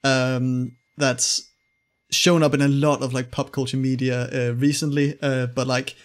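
A man with a mid-pitched voice hosts a casual film podcast. He speaks in a hesitant, halting way, circling back on his words as he builds a sentence. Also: level tone. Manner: halting, hesitant, repeats words while searching.

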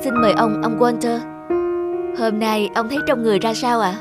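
A woman's voice: fairly high pitch, steady tone, fairly quick, natural voice. A woman voicing a patient, kindly young waitress in a cartoon, speaking politely and reassuringly. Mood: gentle, encouraging. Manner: courteous, gently reassuring. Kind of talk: animated cartoon dubbing. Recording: music behind a close dry voice.

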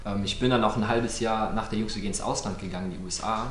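A man's voice: fairly low pitch, steady tone, fast talker, echoey room. A man of nineteen from Cologne, chatting casually as an interview guest. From Cologne, Germany. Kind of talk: casual chat. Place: indoor apartment room.

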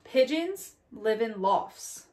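High-voiced woman reading to children. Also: big pitch swings, takes it slowly, a little mellow. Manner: addresses young listeners directly with questions and tag "right?". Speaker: teacher reading aloud to children. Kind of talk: children's picture book read-aloud with asides. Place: small indoor room at home or classroom corner.

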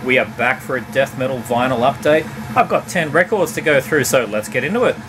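A middle-aged man chatting casually about his records at home, relaxed and rambling, with many uhs and ums. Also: mid-range voice, fairly quick. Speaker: vinyl collection vlogger. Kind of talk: casual record review chat. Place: home room lined with CD shelves. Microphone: camera at desk distance. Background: background hum, faint tape music.

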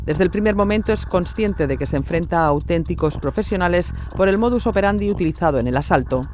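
A man's voice: sits high, expressive, quick pace, a little mellow, slight background noise. A man narrating a scripted true-crime documentary.

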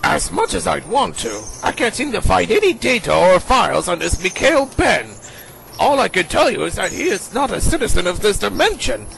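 A blustering mad-scientist villain in a radio play, pitched high and swinging wildly up and down. He is pompous and theatrical, with a gritty edge to the voice. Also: big pitch swings, moderate pace, gravelly, fairly bright tone, slight background noise, full character voice, low-quality recording. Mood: grave, calculating. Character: blustering male scientist villain, indignant and scheming. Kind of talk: amateur radio play acting.

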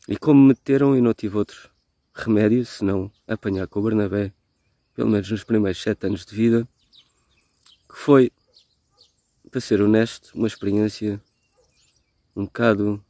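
A man in his forties with a Lisbon accent, reminiscing haltingly and reflectively in a personal video message recorded outdoors on a phone. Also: fairly low pitch, warm tone, no room sound, clean studio sound. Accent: European Portuguese, Lisbon. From Lisbon, Portugal. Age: 40s. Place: outdoors in a garden. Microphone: phone at arm's length.

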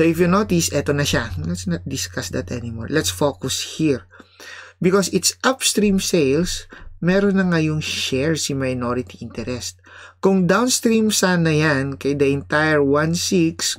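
Filipino man teaching, higher-set voice in dry sound. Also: dry recording. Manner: explanatory, mixes Tagalog and English, checks with tags like "okay" and "no". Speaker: accounting instructor. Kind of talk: informal recorded lecture, working through examples.

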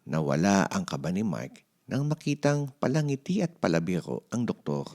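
Deep, creaky Filipino man reading a storybook. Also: very animated, moderate pace, a little mellow. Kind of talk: expressive children's storybook read-aloud.

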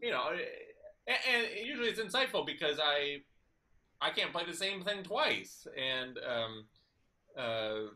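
A man in his thirties hosting a casual interview over a video call from his kitchen, asking rambling, animated questions. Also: a little high, a little slow. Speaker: host. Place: home kitchen. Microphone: video call webcam.

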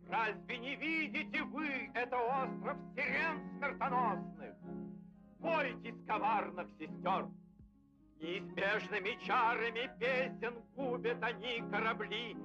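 Very high-voiced solemn prophet. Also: male voice. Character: solemn, urgent prophetic warner of ancient legend. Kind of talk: theatrical verse declamation. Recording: dull vintage cartoon soundtrack with music.

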